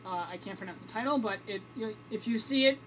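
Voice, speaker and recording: Young male radio co-host, casual and halting delivery, amateur recording with slight background noise. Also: sits high, bright, forceful tone, poor audio. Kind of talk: casual movie review chat.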